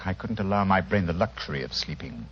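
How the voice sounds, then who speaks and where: An actor playing a brisk, confident, theatrical British gentleman detective in an old radio drama. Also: sits low, lively intonation, breathy edge. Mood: eager, excited. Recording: muffled old radio broadcast.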